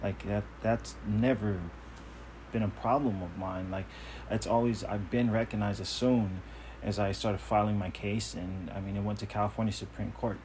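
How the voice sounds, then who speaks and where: A man's voice: lowish voice, steady tone, normal speed, a little mellow, a little hiss or hum, low-quality recording. A man talking on his own podcast in a casual monologue, earnest, self-assured and emphatic. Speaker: podcast host.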